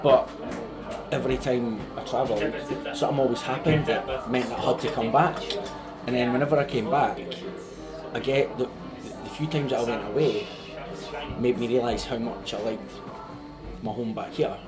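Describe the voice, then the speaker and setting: Man, halting and unsure, mid-pitched, loud music under him. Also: steady tone, poor audio. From Scotland. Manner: hesitant, halting, searching for words. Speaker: interviewee. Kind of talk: casual personal reflection.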